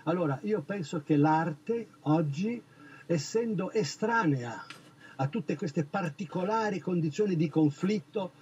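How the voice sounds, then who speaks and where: A man's voice: high voice, animated, unhurried, darkish tone, muffled recording, a little hiss or hum. An older man answering interview questions outdoors, reflective, building his points as lists. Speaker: artist interviewee. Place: outdoors among trees.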